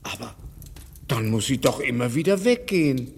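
A man's voice: mid-range voice, animated, normal speed, in a large hall, slight background noise, lightly acted. An actor playing an anxious, fussy elderly father who worries and rambles in a radio play. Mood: fretful. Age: older.

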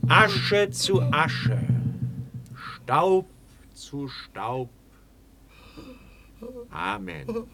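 An actor playing a solemn preacher leading a burial in a radio play, with measured, ceremonial delivery. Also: male voice, high voice, lively intonation, creaky, background noise, full character voice. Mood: solemn mourning. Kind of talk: radio play funeral oration. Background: music with guitar.

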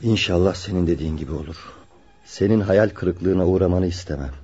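An actor playing a frank, serious young friend who gives admonishing advice in a radio drama. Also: male voice, low voice, a little fast, dark tone, lightly acted. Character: frank, sober young male childhood friend giving advice. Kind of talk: Turkish radio drama acting.

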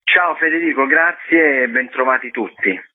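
A man's voice: mid-range voice, moderate pace. Man on a tinny phone line. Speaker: theatre director and translator, interviewed guest. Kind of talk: radio interview, explaining a stage production. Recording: phone-like narrow band radio call.

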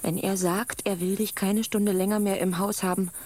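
A woman's voice: mid-range voice, level tone, moderate pace, a little mellow, lightly acted. A woman playing a tender, worried fisherman's wife in a radio play, motherly and pleading. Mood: tender, troubled. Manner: pleading, motherly, breathless urgency when alarmed. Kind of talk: German radio drama acting.

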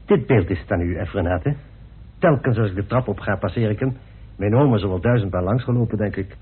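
A man's voice: on the low side, fairly quick, husky. An actor playing a self-assured, talkative, persuasive man in a radio drama. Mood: measured, polite. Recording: muffled, narrow-band old radio recording.

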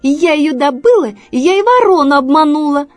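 Storybook vixen, high voice, sweet-talking, sly, wheedling, quick to turn shrill. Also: female voice, dry recording. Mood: indignant. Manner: cooing, wheedling, drawn-out flattery.

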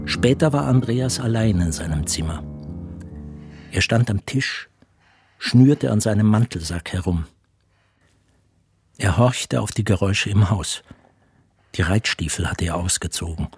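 A man playing the grave storyteller, narrating a literary radio drama in measured phrasing. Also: on the low side, darkish tone, breathy edge, full character voice. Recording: studio voice with music behind.

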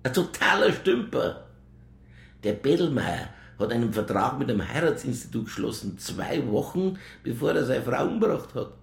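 Gravelly Bavarian police inspector. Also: male voice, medium pitch, very animated, moderate pace, roomy sound. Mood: scornful amusement. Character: world-weary, irreverent Bavarian police inspector. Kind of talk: radio crime drama acting.

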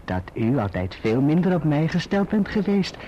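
An actor playing a falsely humble, scheming young clerk in a radio drama, wheedling and obsequious. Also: male voice, fairly high pitch, big pitch swings, moderate pace, dark tone. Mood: servile, insinuating. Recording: dull, noisy old radio recording.

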